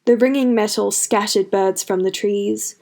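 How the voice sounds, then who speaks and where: Expressive female story narrator. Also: mid-range voice, measured pace, gravelly. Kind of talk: scripted fan fiction narration.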